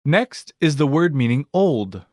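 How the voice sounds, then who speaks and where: A male narrator for a language course, reading scripted prompts in an even, orderly pattern. His pitch moves a lot, and the sound is dry and close. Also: a little slow, dry recording. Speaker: lesson narrator.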